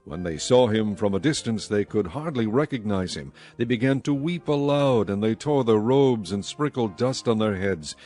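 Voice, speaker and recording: A man reading scripted scripture narration as narrator of a dramatized audio Bible, in a measured, solemn manner. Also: lowish voice, animated, darkish tone, faint music behind.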